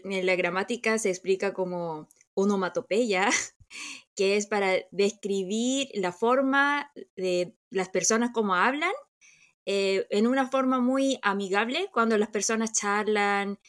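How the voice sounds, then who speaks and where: Korean-accented woman chatting in Spanish. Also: medium pitch. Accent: Korean, with a slight Chilean touch. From South Korea. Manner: warm, laughs often, searches for words. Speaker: podcast co-host. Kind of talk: casual chat.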